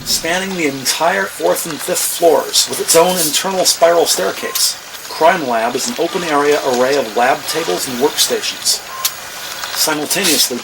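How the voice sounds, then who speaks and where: An actor narrating a police procedural audio drama as a measured, factual male storyteller. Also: a little high, gravelly, background noise.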